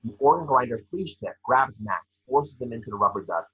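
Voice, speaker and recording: A man with a rough, husky voice reading scripted scene descriptions at a table read. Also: medium pitch, expressive, fairly quick, dull audio.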